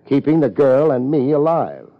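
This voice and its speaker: An actor playing a cool, wry private detective in a 1950s American radio drama, with a laid-back, clipped way of talking and an American accent. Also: male voice, mid-range voice, big pitch swings, moderate pace, lightly acted. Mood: cool, bargaining. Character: cool, hard-boiled male private detective. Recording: muffled old radio broadcast.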